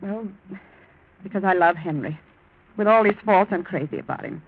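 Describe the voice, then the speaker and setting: A woman's voice: mid-range voice, normal speed, lightly acted. An actress playing an earnest, protective young woman in love, in 1940s radio drama style. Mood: insistent. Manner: earnest, insistent. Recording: muffled old 1940s radio recording.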